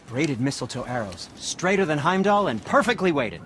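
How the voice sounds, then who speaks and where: A fussy, vain weaponsmith from a fantasy game. His high voice swings wildly as he frets and stammers with prissy theatricality. Clear noise sits behind the voice. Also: sing-song, normal speed. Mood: eager, grateful. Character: fussy, squeamish male craftsman weaponsmith, vain and easily flustered. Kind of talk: video game cutscene acting.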